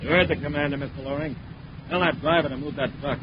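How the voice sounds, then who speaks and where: An actor playing a scheming, smoothly courteous company boss in a 1950s radio adventure serial. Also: male voice, fairly high pitch, fairly even intonation, fast talker, noisy background, natural voice. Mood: irritated, bossy. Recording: muffled 1950s radio recording.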